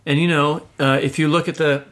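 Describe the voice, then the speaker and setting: A man's voice: a little high, little pitch movement, a little fast, fairly bright tone. A man co-hosting a podcast, talking in a casual, conversational style. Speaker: podcast co-host.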